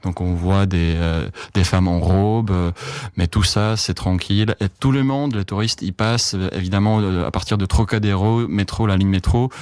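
A man's voice: deep voice, steady tone, moderate pace, darkish tone, smooth, no echo, clean studio sound. A man with a Czech accent, a foreign correspondent answering interview questions in French with many fillers and restarts.

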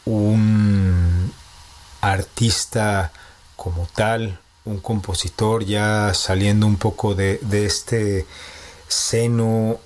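A man in his thirties with a Mexico City Spanish accent, a composer answering interview questions in a measured, reflective way, thinking aloud as he goes. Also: on the low side, little pitch movement, a little mellow. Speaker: composer and postdoctoral researcher.

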